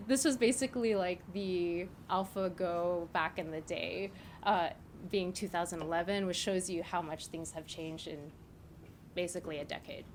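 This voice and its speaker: A woman in her thirties, raised in South Korea with Korean as her first language, speaks to a small audience at a meetup. She talks in a loose, chatty way, filling gaps with "like" and turning to the room with "right?". A faint hiss sits under her voice. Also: mid-range voice. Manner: chatty, frequent "like" and "right?", laughs between points. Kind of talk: live conference talk.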